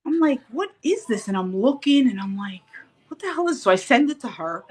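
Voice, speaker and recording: A woman in a relaxed interview tells her story with real animation. She slips into acted-out quotes and laughs often, as though chatting with a friend. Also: on the high side, brisk. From Puerto Rican heritage. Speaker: podcast guest. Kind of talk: casual interview chat.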